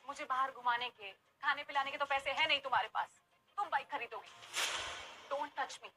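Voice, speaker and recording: A woman's voice: high voice, moderate pace, bright, forceful tone, soft background music. An actress playing a scornful, materialistic young woman in a dramatized audio story. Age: young adult. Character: scornful, materialistic young woman dumping her poor boyfriend.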